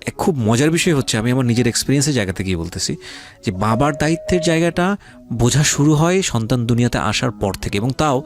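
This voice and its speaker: A man's voice: medium pitch, animated, fast. A middle-aged man with a Bangladeshi accent hosting a reflective conversational interview, repeating phrases for emphasis and mixing in English. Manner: emphatic repetition, mixes English phrases into talk. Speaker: interview show host.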